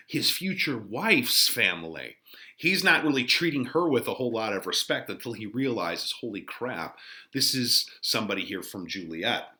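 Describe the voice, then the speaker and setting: A man's voice: medium pitch, sharp tone. A man teaching in an informal commentary, explaining in a conversational, emphatic way as an English teacher would.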